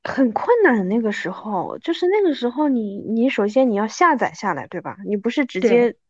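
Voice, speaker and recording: A woman's voice: on the high side, very animated, normal speed, darkish tone, no echo, lo-fi sound. Woman in her thirties, dry dulled recording. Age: 30s. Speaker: podcast guest. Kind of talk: casual chat, reminiscing.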